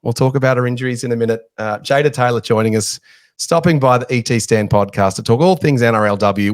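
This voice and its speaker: A man's voice: lowish voice, no room sound. Chatty male podcast host. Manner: chatty, jokey, self-deprecating. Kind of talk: casual sports podcast chat.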